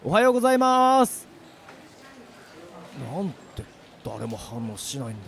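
A man voicing a bewildered young office worker who finds no one can see him, talking in rough casual speech, in an amateur radio drama. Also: on the high side, wildly swooping pitch, normal speed, a bit bright, full character voice. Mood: muttering.

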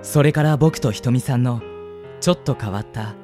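A man's voice: mid-range voice, normal speed, dark tone, soft background music, lightly acted. Man playing a polite, earnest young college guy. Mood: polite, eager. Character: polite, shy, smitten young male college student. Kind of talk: radio drama dialogue and inner narration.

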